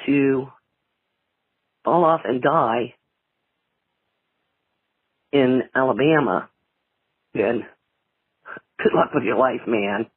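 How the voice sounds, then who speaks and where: A man leaving a casual, rambling phone message in a halting, drawn-out way. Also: medium pitch, breathy edge, no echo. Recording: tinny phone line voicemail.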